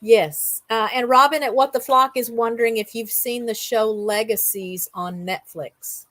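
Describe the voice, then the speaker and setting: A woman serves as interviewer in a casual livestream Q&A. Her voice sits a little high. It is recorded dry, with hardly any room around it. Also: steady tone, a little slow, a bit bright, no echo. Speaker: interviewer reading out questions.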